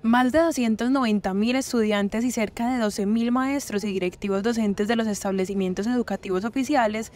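A woman's voice: a little high, fairly quick, hardly any pauses, darkish tone, a little hiss or hum. A woman reading a scripted news report as a voice-over.

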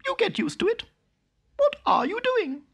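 Woman putting on a squeaky snooty town mouse. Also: sing-song, moderate pace, full character voice. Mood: breezy. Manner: high squeaky, sniffy and showy. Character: haughty proud female town mouse, fussy.

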